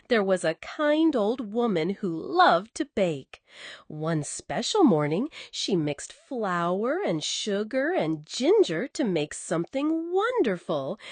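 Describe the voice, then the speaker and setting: Slow, very expressive woman storyteller. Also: fairly high pitch, no echo. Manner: expressive, coddling, addresses a young child. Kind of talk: scripted children's bedtime narration.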